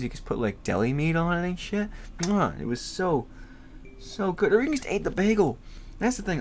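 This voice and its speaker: A man's voice: medium pitch, a little hiss or hum. A young man with a congested voice, rambling casually as a podcast host, swearing freely and laughing often.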